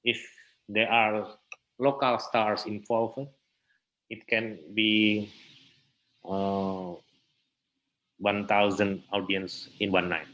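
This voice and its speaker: Man, Indonesian accent, low-pitched, unhurried, halting interview answers, searching for words. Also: little pitch movement, a bit bright, lo-fi sound. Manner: halting, searching for words in non-native English. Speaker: interview guest, theatre researcher and festival director. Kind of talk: explanatory interview talk.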